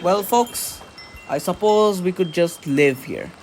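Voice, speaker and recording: A woman playing a breezy, overconfident spaceship captain in an audio sitcom, grandly reassuring and unhurried. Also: fairly low pitch, lively intonation, background noise. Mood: reassuring, dreamy. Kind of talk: sci-fi audio drama sitcom acting.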